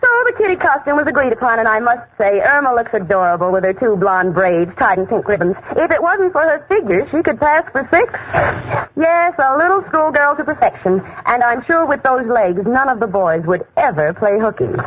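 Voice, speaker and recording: Jane, a wry sensible narrator. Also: female voice, fairly high pitch, sing-song, a little fast, fairly bright tone, highs cut off. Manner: wry, dry comic narration. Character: level-headed, wry young woman. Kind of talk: 1940s radio comedy acting. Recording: scratchy old radio broadcast with heavy hiss.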